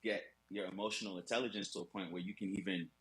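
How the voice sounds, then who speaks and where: Man, deep voice, bright forceful tone, radio host guest, relaxed podcast talk. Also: fast, rough recording. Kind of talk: casual podcast conversation.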